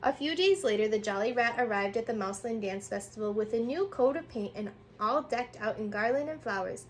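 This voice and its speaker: A woman reading a children's picture book aloud in an animated way, sometimes stumbling and rereading words. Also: fairly high pitch, fairly quick.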